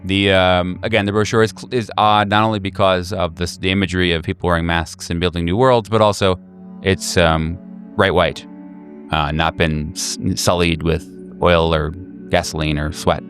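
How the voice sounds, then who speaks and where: A man with a deep, low voice works as game master of a horror tabletop game. He improvises his descriptions in a halting way, searching for the next word. Faint music sits behind him. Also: level tone, a bit bright.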